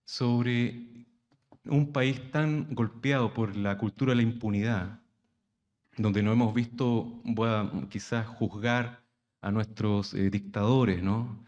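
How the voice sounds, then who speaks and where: A Chilean man, an actor, speaking from the audience at a conference panel in a soft, halting, reflective way with many pauses. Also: on the low side, steady tone, highs cut off. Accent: Chilean Spanish. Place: university conference hall.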